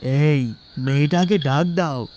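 A slightly high-voiced, humble father, acted by a man, speaks slowly and consolingly. The recording is dull, with some noise behind this devout cartoon figure. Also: a little mellow. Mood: reassuring. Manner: slow, consoling. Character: humble, devout, gently sorrowful poor father. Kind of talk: moral cartoon voice acting.

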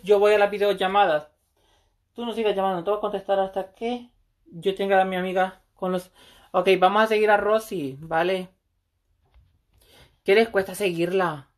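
A woman, chatting casually and flirtatiously on a livestream from a small room, with a phone held close. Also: mid-range voice. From El Salvador. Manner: brash, flirty, swears freely. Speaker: livestream host. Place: small room indoors, seated on a couch.

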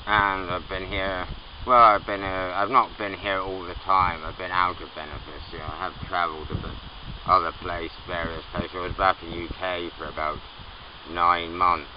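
Low-voiced man rambling through his story. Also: little pitch movement, moderate pace. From Scotland. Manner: rambling, self-correcting, hesitant. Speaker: interviewee. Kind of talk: casual storytelling. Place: outdoors under trees in a camp. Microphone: far camera. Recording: muffled distant outdoor recording with noise.

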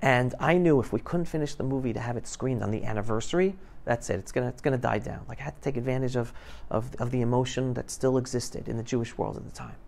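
A man's voice: mid-range voice, fast, creaky, a little mellow. A middle-aged man giving an emphatic, colloquial storytelling talk to students, acting out conversations as he goes. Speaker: guest speaker, filmmaker telling his story. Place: school classroom, indoors. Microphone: clip-on lapel mic.

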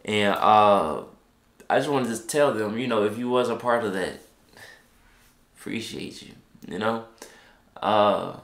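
A man's voice: on the low side, unhurried, pauses often, fairly bright tone. A young man with a stuffed-up nose, hosting a music reaction at home, chatting in a playful, rambling way. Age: young adult. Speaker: reaction channel host. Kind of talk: casual chat. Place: living room at home. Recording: echoey room.

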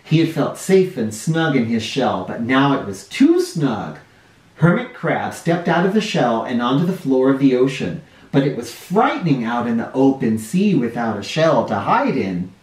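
A man in his forties reading a picture book aloud to children in an expressive, explanatory way. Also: medium pitch, moderate pace. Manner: animated, explanatory, addressing young listeners. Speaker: children's storytime reader. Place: living room or playroom indoors. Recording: roomy home sound, distant mic.

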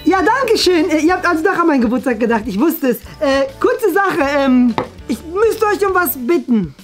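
A nerdy, eager schoolboy with an extremely high voice. He is fussy and pleading, hedging as he goes, in a comedy sketch with faint music behind him. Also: sing-song, moderate pace. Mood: eager, nervous. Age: young adult. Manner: fussy, pleading, hesitant with many hedges. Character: nerdy, eager schoolboy desperate to impress his father. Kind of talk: scripted classroom comedy sketch. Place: small bright classroom, indoors.

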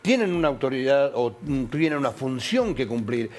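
A middle-aged man, giving measured studio commentary with hesitant fillers. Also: mid-range voice, sing-song, slight background noise. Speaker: TV political commentator. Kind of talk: studio talk show commentary.

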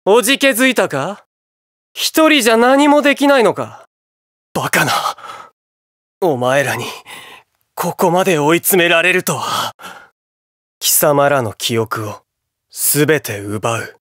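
Armored video game villain, menacing, commanding, sharp bright tone, dry close sound. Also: male voice, on the high side, expressive, unhurried, pauses often, no echo. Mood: bitter, threatening. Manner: taunting, forceful, commanding. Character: menacing, arrogant male armored villain with sealed power. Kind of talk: video game battle voice acting.

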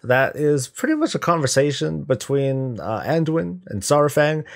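A man's voice: on the high side, very animated, measured pace, vocal fry. A middle-aged man giving casual, musing reaction commentary as a video host, talking conversationally. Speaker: YouTube host reacting to game cinematics.

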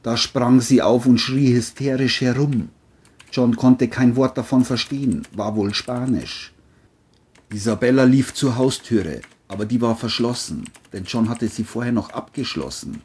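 A man's voice: mid-range voice, unhurried, a little mellow, husky. A man narrating a scripted crime story as an audiobook-style reading.

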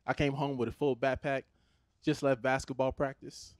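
A man from Los Angeles in his thirties with an American accent, performing a stand-up comedy set on a theater stage into a handheld mic, animated and conversational. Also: medium pitch, moderate pace, dry recording, clean studio sound. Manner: animated, conversational, switches into put-on Nigerian voices for his parents.

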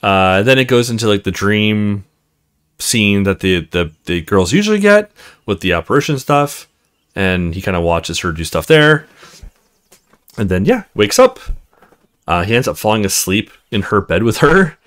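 Man with very animated, swinging pitch. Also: moderate pace. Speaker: let's play host. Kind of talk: casual let's play commentary, reading game text aloud.

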